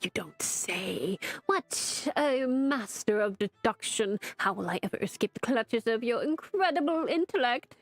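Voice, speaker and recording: Give me a woman playing a haughty male grimoire, slow and pompously sarcastic, recorded dry. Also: medium pitch, animated, dry recording.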